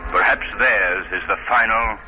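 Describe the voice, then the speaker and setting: An actor playing an assured gentleman detective, calm and explanatory, in measured 1930s radio style. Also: on the low side, close to an everyday voice. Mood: solemn. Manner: measured, declamatory. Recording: muffled, noisy 1930s radio recording.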